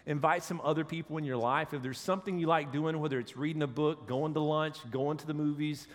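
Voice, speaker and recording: A man with a head cold delivers a casual, joking sermon in a church sanctuary, his usually higher voice pulled lower. His words ring out with a long hall reverb. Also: steady tone, fairly quick. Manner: joking, conversational preaching with audience asides. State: has a cold, voice deeper than usual. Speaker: associate pastor.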